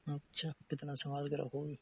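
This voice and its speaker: Gravelly, husky man in flirty phone chat. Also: fairly high pitch, monotone, moderate pace, pushed and bright, no room sound.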